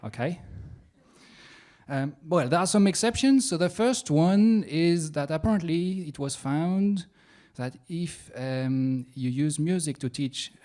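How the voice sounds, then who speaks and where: A young man from France with a French accent, doing a science stand-up comedy set in a self-mocking, halting way with playful asides. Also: fairly high pitch, animated, darkish tone. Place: comedy venue stage.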